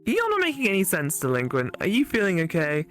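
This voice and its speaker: Woman playing a lively, skeptical best friend. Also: fairly low pitch, a little fast. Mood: teasing, skeptical. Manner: sarcastic, animated. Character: teasing, skeptical female best friend back from travels.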